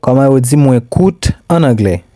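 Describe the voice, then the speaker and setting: Male teacher, mid pitch, mellow tone, steady prompt reading, dry close sound. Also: normal speed, dry recording. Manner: steady, repeats one question pattern. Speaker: language teacher.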